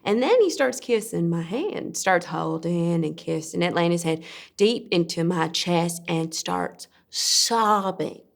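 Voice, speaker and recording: A young woman playing a weary, protective abused daughter, recounting trauma in a halting, deliberate dramatic monologue. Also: fairly low pitch, animated, gravelly, full character voice. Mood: halting, pained. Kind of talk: dramatic stage-style monologue.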